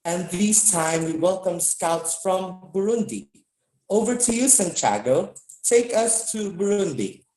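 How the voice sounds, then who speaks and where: A host reads scripted links and hands over to a reporter. The voice sits mid-range. The room adds a clear echo. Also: medium pitch, expressive, measured pace, warm tone. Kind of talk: live show hosting. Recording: echoey room.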